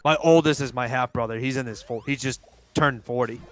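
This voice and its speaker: Brief: twenties guy, mid-pitched, loose rambling gamer talk with cursing, faint music underneath. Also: a little fast. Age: 20s. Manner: rambling, swears often, restarts sentences. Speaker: co-player on livestream. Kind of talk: casual gaming chat.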